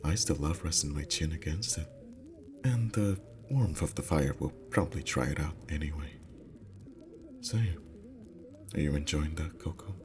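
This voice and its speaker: Very deep, soft-spoken boyfriend played by a man. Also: normal speed, pauses often, music in the background. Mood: affectionate, cozy. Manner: soft, intimate, slipping into whispers. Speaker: voice actor. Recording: faint ambient background noise.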